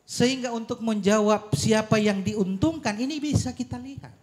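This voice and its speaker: A man speaking as a panelist at a public forum, arguing a policy point in deliberate, emphatic phrases with rhetorical questions. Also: high-pitched. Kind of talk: argumentative forum speech on policy.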